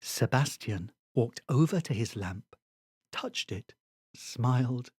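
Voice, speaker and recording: A storyteller reading a bedtime story in a soft, soothing, hushed way. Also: mid-range voice, animated, slow, husky, dry recording, clean studio sound.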